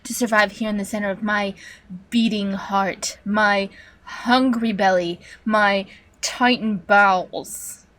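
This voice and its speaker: A woman's voice: medium pitch, fairly even intonation, a bit bright. A woman playing a hateful, sadistic supercomputer in a video game monologue, taunting human captives with theatrical menace and drops into whispers. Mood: sinister, patronizing. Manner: theatrical, menacing, drawn-out delivery dropping into whispers.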